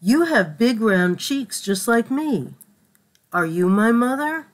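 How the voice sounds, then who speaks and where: Storybook little boy bird played by a woman: lonely, earnest, plaintive, higher-pitched. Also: animated, slow. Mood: hopeful, earnest. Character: small lonely young male bird seeking a mother.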